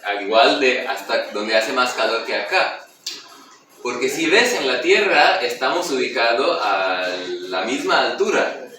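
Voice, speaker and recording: A young man from Nepal talks through his answers as an interview guest, circling back with restarts and fillers. His voice is bright and clear in tone. He is recorded off-mic in a very echoey space with a faint hiss behind him. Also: mid-range voice, big pitch swings, unhurried, far from the mic. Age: young adult. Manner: explains at length with restarts and fillers. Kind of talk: casual interview.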